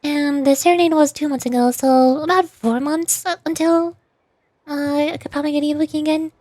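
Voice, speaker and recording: High-pitched woman chatting casually on stream. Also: fairly even intonation, a little fast, darkish tone, no echo. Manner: rambling, thinking aloud. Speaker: livestreamer. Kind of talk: casual chat to livestream viewers.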